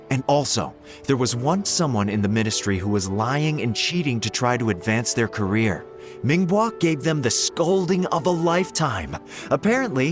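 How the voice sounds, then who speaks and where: Man playing animated, earnest young assistant. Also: mid-range voice, natural voice. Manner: earnest, expressive. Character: earnest, dutiful, easily exasperated young male assistant. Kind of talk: video game voice acting.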